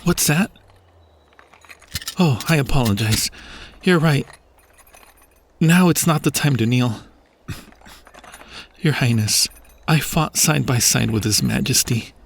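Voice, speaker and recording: A man's voice: medium pitch, slow pace, creaky, long pauses, a little hiss or hum. A man playing a devoted, earnest army lieutenant in a fantasy audio roleplay, formal and deferential with urgency. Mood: urgent, reverent. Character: devoted army lieutenant, earnest, reverent, higher-voiced soldier. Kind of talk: fantasy ASMR roleplay acting.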